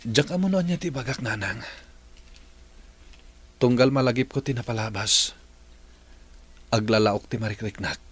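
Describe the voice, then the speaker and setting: Guy doing a grateful grown son, mid voice, bit husky. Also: medium pitch, normal speed, pauses often, lightly acted. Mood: earnest. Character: grown young man, earnest and grateful. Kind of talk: radio drama acting.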